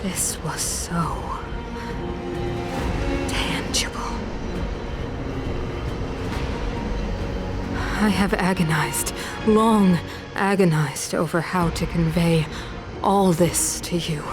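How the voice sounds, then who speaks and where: An imperious, fanatical ruler and mother, a video game villain in middle age. She delivers grandiose, measured oratory at a slow pace, with clear music playing behind her. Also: lowish voice. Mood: anguished, commanding. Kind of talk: dramatic video game voice acting.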